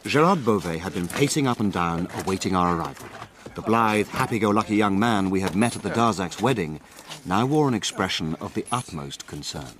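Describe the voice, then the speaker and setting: An actor playing a grave gentleman who narrates a mystery adventure in a literary, measured and ominous manner. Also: low voice, lively intonation. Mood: ominous, descriptive. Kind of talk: dramatic scripted narration. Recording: clear ambient background noise.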